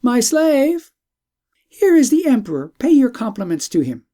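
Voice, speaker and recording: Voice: mid-range voice, sing-song, moderate pace, dark tone, pitched above the performer's own voice, lightly acted. A storyteller voicing a poised young princess, respectful and proud, with polite, formal speech in an audiobook tale. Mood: commanding.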